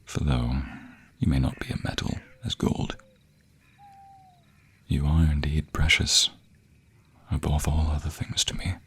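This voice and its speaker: A man playing a devoted, protective vampire boyfriend in a romantic audio roleplay, husky and breathy, speaking in ornate old-fashioned phrases close to a binaural mic. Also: little pitch movement, measured pace, pauses often, a bit bright, full character voice. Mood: devoted, earnest. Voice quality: low, husky, breathy, often dropping to a whisper. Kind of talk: romantic audio roleplay acting, addressing the listener intimately. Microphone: close binaural microphone.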